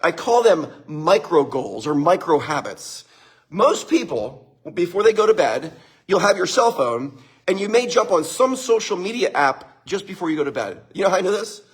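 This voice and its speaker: Upbeat husky man giving a motivational talk. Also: high-pitched, very animated, moderate pace. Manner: upbeat, greets viewers by name, laughs often. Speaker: motivational speaker. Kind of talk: live-streamed motivational talk.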